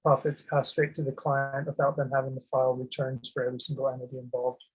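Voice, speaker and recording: A man explaining legal and tax structures in a slide presentation, speaking in a monotone with frequent ums and restarts. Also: medium pitch, fast talker, slightly rough, poor audio. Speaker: asset protection presenter.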